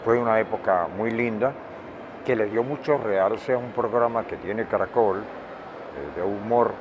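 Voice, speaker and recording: An older man with a Colombian accent answering interview questions in a deliberate, emphatic way, often repeating words for weight. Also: on the low side. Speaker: interviewee, veteran radio director and journalist. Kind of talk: reflective interview talk. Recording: dull lo-fi, clear outdoor traffic noise.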